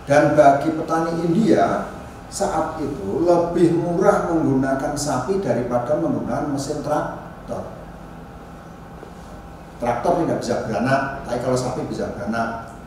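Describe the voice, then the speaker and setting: A man from Indonesia gives an informal university lecture, explaining deliberately and often adding small check-in tags. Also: a little high, a little mellow. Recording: echoey room, distant mic, noisy.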